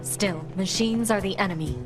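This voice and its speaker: A woman's voice: mid-range voice, normal speed, close to an everyday voice, poor audio. A woman playing a composed, strict female combat android in a video game, cool, restrained and matter-of-fact. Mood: cool, stern. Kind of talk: English video game voice acting. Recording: game music playing loudly behind.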